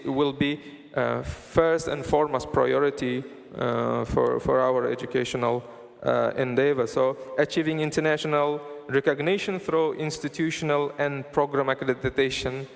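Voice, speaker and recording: A man delivers a formal policy presentation at a conference. His mid-pitched voice has a gravelly creak, and he proceeds haltingly, with frequent hesitations and restarts. The sound carries the long reverb of a big hall. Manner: halting, hesitant delivery with very frequent filled pauses and broken-off words. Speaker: government or university official presenting at a forum.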